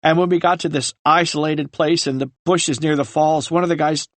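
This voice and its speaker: A man voicing a guilt-ridden young man who confesses haltingly, on the edge of tears. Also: a little high, steady tone, fairly bright tone, no echo, close to an everyday voice. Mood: haunted. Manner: halting, breaking with remorse. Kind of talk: audiobook character reading.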